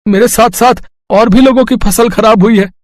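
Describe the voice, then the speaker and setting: A humble poor farmer , as a man voices him in a cartoon story, speaking in a high, pleading voice. The recording is dry and close. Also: steady tone, normal speed, dry recording. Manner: pleading, respectful.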